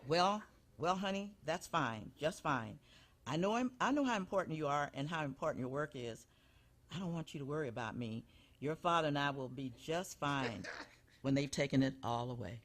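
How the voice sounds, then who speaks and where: A man's voice: a little high, expressive, moderate pace, fairly bright tone, a little hiss or hum. A man playing an anxious, folksy elderly mother who guilt-trips over the telephone in a live comedic radio show. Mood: martyred. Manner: folksy, martyred, guilt-tripping. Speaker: stage performer in a benefit show.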